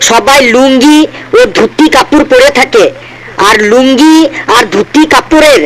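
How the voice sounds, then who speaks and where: A man's voice: extremely high pitch, low-quality recording. A man voicing a cheeky cartoon schoolboy who gives pleading, mock-earnest answers full of silly excuses. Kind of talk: comedy cartoon dialogue.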